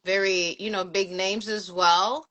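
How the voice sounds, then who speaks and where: Chatty French woman, bright voice. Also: mid-range voice, unhurried. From France. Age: 40s. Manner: relaxed and chatty, many fillers. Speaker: guest, sneaker collector and teacher. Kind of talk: casual interview.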